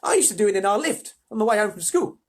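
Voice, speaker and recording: A reader voicing a brash, boastful gum-chewing girl from a children's storybook, talking loud and fast in a put-on Australian accent. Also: medium pitch, very animated, pitched above the performer's own voice. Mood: excited, bragging. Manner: fast, emphatic, chattering.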